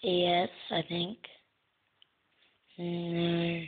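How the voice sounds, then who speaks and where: A young woman casually playing a guessing game on her channel, soft-spoken and answering in short words. Her voice is breathy and low-pitched. It comes through a tinny, phone-like recording. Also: completely flat delivery, extremely slow. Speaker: gaming channel host. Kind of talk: casual gameplay commentary.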